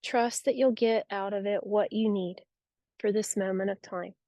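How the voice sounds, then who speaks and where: A woman guiding a yoga nidra relaxation practice with soothing, unhurried, gentle instruction. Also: mid-range voice, steady tone, dry recording. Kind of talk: guided relaxation meditation.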